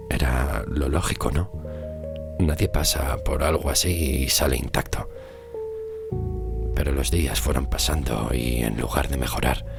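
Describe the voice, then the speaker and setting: An extremely low male voice with heavy creak narrates a horror tale over loud music. He phrases things deliberately and dramatically, leaving short pauses to build suspense. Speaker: storyteller and narrator of horror podcast.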